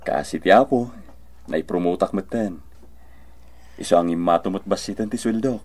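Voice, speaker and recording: A man's voice: sits low, moderate pace, darkish tone. An actor playing an easygoing, genial family man from the province in an Ilocano radio drama.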